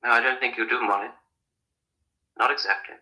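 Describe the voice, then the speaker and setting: An actor playing an evasive, anguished husband on the run in a radio murder mystery, speaking in emphatic, hesitant bursts. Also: male voice, mid-range voice, steady tone, brisk, pushed and bright, low-quality recording. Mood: restless, apologetic. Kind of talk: radio drama acting.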